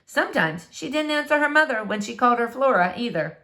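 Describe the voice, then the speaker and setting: Female storyteller, fairly high voice, very varied pitch, expressive read-aloud, deliberate phrasing, for children. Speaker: storybook reader.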